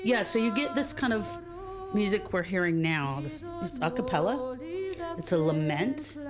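A woman's voice: medium pitch, sing-song, moderate pace. A woman guest casually explaining music in informal, slangy radio conversation. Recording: music playing behind speech.

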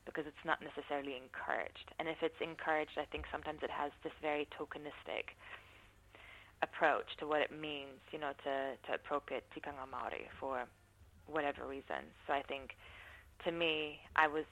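A woman giving thoughtful, measured interview answers, often pausing to rephrase her points. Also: low voice, fairly even intonation, a bit bright, low-quality recording. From not from New Zealand. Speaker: interviewee, university researcher. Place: New Zealand.

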